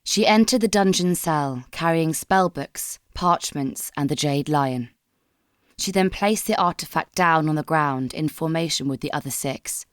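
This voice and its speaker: A woman with a low voice reads a dramatic story from a script. Her telling is measured and solemn, and the sound is very dry and close. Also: no room sound. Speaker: fan fiction narrator.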